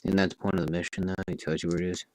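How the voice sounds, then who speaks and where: A man's voice: low voice, level tone, racing, slight background noise. A man lying beside the gamer, making laid-back side comments from away from the microphone. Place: bedroom, on a bed away from the mic.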